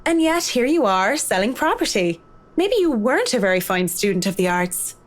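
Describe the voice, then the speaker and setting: A woman's voice: on the high side, big pitch swings, moderate pace. A woman voicing a blunt, self-assured interior designer in a game, speaking in a dry, lecturing way. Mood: cutting. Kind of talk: video game voice acting.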